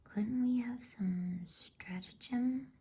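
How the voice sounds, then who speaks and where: A woman voicing an earnest, indignant schoolboy older brother with halting urgency, in a bedtime story reading. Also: on the high side, mellow, husky, voice pushed up, full character voice. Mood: urgent, pleading.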